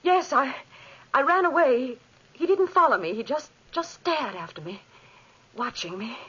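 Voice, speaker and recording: An actress playing a frightened, sincere young wife, halting and breathless, in 1940s radio drama style. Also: female voice, sits high, lively intonation, a bit bright, slightly rough, exaggerated voice. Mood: shaken. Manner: breathless, halting, repeats words. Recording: muffled 1940s radio recording.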